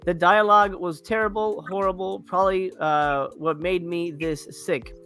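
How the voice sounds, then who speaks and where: Male panel host, high and bright, rambling casual delivery, light music underneath. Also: fairly even intonation. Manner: halting, rambling. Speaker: host of a movie review panel.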